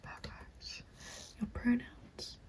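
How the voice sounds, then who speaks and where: A young woman softly whispering close to the mic in a polite, gentle ASMR roleplay as a consultant. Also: very slow. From England. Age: young adult. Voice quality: soft breathy whisper. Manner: polite, gentle, attentive customer-service patter. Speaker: ASMR creator playing a recruitment consultant. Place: small room at home, indoors. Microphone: close microphone. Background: computer keyboard typing.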